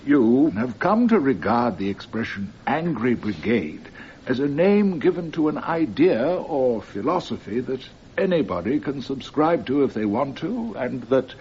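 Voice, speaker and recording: A measured court questioner in a radio play. He speaks very slowly and formally, with wide swings of intonation. The sound is dull and faintly noisy. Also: mid-range voice, big pitch swings. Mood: deliberate, querying. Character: deliberate male courtroom questioner seeking clarification. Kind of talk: radio courtroom drama acting. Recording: slightly muffled, noisy radio drama recording.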